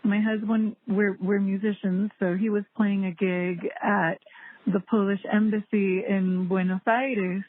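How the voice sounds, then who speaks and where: A woman's voice: mid-range voice, flat delivery, a little slow. A woman hosting a podcast interview, asking friendly questions in casual talk. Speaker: podcast host. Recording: tinny phone-like line.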